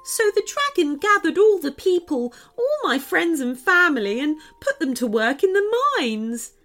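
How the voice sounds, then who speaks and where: A woman's voice: high-pitched, very animated, moderate pace, a bit bright, voice pushed up, full character voice. A woman voicing a small, frightened runaway elf girl in a storybook, plaintive and tearful. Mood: sad, worried.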